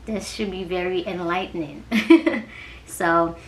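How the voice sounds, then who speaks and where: A woman's voice: fairly low pitch, fairly even intonation, takes it slowly. A woman in her twenties chatting casually on her vlog, rambling and giggly, with lots of "like". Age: 20s. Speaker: vlogger. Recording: roomy with background noise.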